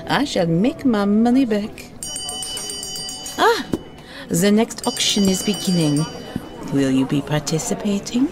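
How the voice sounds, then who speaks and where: Wealthy French female art collector played by an actress, audio drama: imperious, formal, medium pitch, music behind. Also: lively intonation, measured pace, long pauses, lightly acted. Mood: grand, haughty. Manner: formal, grandly poised. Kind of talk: full-cast audio drama acting.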